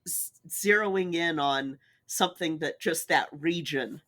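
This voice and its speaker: A person in their thirties co-hosts a casual podcast. The voice is bright and mid-pitched. The delivery takes its time and lands agreement with emphasis. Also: a little slow. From Utah, United States. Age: 30s. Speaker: podcast co-host.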